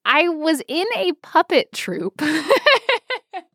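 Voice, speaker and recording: A woman's voice: extremely high pitch, sing-song, drawn-out words, sharp tone, dry recording, clean studio sound. A woman co-hosting a podcast, telling personal stories in an informal, rambling, self-deprecating way. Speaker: podcast co-host. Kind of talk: casual chat, personal storytelling.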